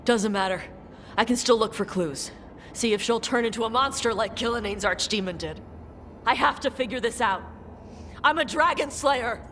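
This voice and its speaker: A woman's voice: fairly high pitch, normal speed, a bit bright, a little hiss or hum, close to an everyday voice. A woman voicing an intense, driven and self-doubting young dragon slayer in a video game. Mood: agitated, defiant. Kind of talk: dramatic video game dialogue. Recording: long reverb, faint music.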